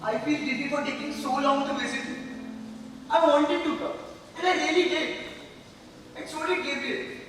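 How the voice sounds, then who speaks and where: A man in an amateur stage play acting an earnest, guilt-ridden young friend visiting a hospital patient, halting and insistent. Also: very high voice, fairly bright tone, slight background noise. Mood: guilty, earnest. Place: large hall stage. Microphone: distant microphone. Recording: echoey hall from far off, music.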